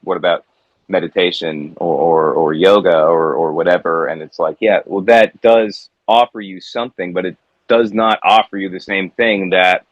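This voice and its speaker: A man from the United States, a guest musician, talking in a reflective, halting way with many restarts. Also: sits low, fairly even intonation, dry recording, dull audio. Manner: reflective, halting with repeated starts. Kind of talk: casual conversation.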